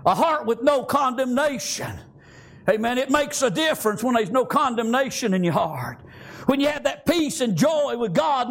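An older man with a Southern American accent preaching a fervent, folksy church sermon, punctuated with frequent amens and exclamations of praise. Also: extremely high pitch.

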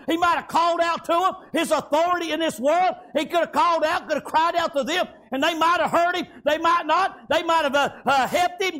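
A man's voice: very high-pitched, pushed and bright. A man preaching a fervent revivalist sermon, impassioned and exhorting, punctuating phrases with amen and praise God.

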